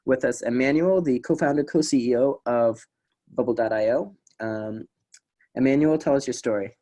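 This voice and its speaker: A man's voice: medium pitch, normal speed, dark tone. A man hosting an interview show, giving an upbeat welcome and introducing his guest. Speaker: host.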